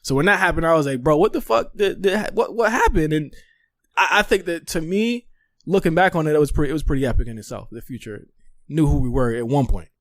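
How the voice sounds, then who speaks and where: Animated slangy man, higher-pitched voice. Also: fast talker, no echo. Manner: animated, slangy, swearing freely. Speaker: podcast host interviewing a guest. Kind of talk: casual storytelling and interview chat.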